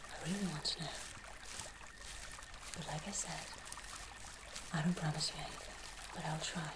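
A young woman playing a gentle, curious apprentice witch in a whispered fantasy ASMR roleplay, speaking softly and unhurriedly. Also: on the low side, background noise, lightly acted. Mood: hesitant, helpful. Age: young adult. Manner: soft, hushed, unhurried.

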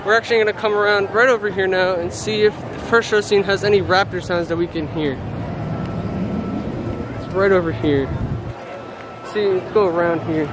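A man's voice: sits high, fairly even intonation, moderate pace, long pauses. A young man chatting casually as he walks a theme park and films with a handheld camera. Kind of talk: casual walking vlog narration. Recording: loud crowd and outdoor noise. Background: crowd and park noise.

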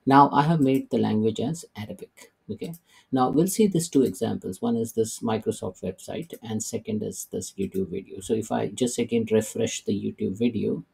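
A middle-aged man giving a screen-recorded software tutorial, hesitant, with many "uh" and "okay" fillers. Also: on the low side, moderate pace, creaky, slightly rough. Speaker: tech tutorial presenter.

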